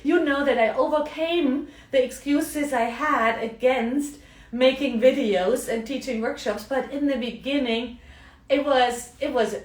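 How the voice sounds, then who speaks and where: A woman's voice: high-pitched, unhurried. German-accented woman coaching in a roomy space. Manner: chatty, frequent tag questions. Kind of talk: live video talk. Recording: roomy, mic at a distance.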